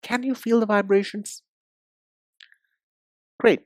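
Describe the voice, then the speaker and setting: A man teaching English pronunciation, explaining step by step in a deliberate, didactic way and speaking directly to the learner. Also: sits high, steady tone, dry recording. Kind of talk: instructional lesson with demonstrations.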